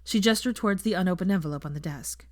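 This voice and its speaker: A woman's voice: on the low side, fast, dry recording, clean studio sound. A woman narrating a scripted story as an audiobook reader. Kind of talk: scripted fiction narration with character voices.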